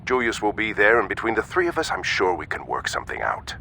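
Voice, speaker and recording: A slick older politician in a crime game, with a bright, forceful voice that swings widely in pitch. He is genteel and ingratiating, and a noisy rumble sits behind him. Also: wildly swooping pitch, quick pace, creaky, heavily acted. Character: smooth-talking, scheming older male politician. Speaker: actor voicing a city alderman in a crime game. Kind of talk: video game cutscene acting. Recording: background rumble and noise.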